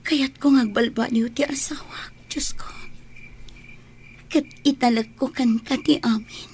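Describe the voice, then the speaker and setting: Anguished high-voiced wife, noisy recording. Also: female voice, steady tone, measured pace, darkish tone. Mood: anguished, whispering. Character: worried, long-suffering wife and mother, pleading but principled. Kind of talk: Ilocano radio drama acting.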